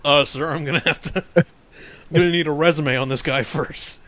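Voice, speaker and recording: A man's voice: fairly high pitch, fairly even intonation, moderate pace, bright, forceful tone. A man chatting casually as a co-host, riffing on a story, repeating himself and often laughing. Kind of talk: casual joking chat.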